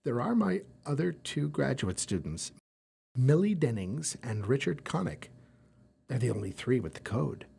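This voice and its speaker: A man's voice: mid-range voice, sing-song, unhurried, gravelly, warm tone, husky, heavily acted. A man playing a worried, flustered professor in an audio drama, speaking hesitantly with stammers. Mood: anxious, hesitant.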